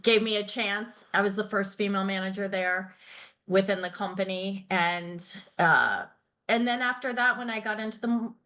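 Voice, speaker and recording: A middle-aged woman speaking as a guest in a relaxed interview. She is chatty and candid, pausing often on fillers. Her pitch sits in an ordinary middle range. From grew up in Thailand and England. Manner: chatty, candid, laughs easily, many fillers. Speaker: guest, finance company founder. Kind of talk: casual interview chat.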